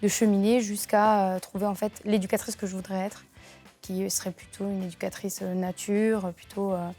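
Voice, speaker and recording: A young woman describing her studies and goals, pausing often to search for words. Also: medium pitch, flat delivery, dark tone, faint music behind. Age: young adult. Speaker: interviewee. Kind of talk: spontaneous personal account.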